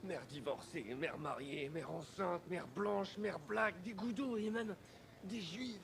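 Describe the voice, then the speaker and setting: An actor playing a cowardly, crude children's entertainer, melodramatic and self-pitying, in a dramatic film. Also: male voice, sits high, slow pace, creaky, background noise, heavily acted. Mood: self-pitying confession. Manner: frantic, crude, melodramatic, prone to yelling.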